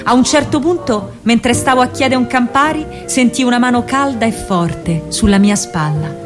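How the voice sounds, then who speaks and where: An actress playing a spirited young partisan woman with a Roman accent, telling her story in an expressive, colloquial radio monologue. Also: a little high, normal speed, music in the background, close to an everyday voice. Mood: tender recollection. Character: young female partisan courier, lovestruck and spirited. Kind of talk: theatrical radio monologue, dramatic first-person storytelling.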